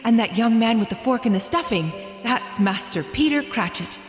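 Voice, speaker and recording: A woman playing a jolly, grand giant spirit with a booming theatrical delivery in a radio drama. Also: animated, normal speed, faint music behind, close to an everyday voice. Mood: solemn. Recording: echoey voice with long reverb.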